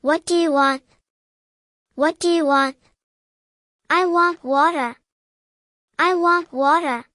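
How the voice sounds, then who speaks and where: A woman narrating a scripted beginner language drill in careful, measured, simple phrases, repeating each sentence. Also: high-pitched, pushed and bright, dry recording, studio quality. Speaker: English teacher narrator.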